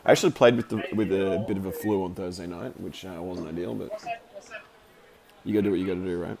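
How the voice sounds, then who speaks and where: A man just over the flu, his voice croaky, giving chatty, rambling commentary over footage of a hockey game he filmed, with plenty of fillers and restarts. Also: sits low, quick pace, crowd noise. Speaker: amateur hockey commentator and video maker.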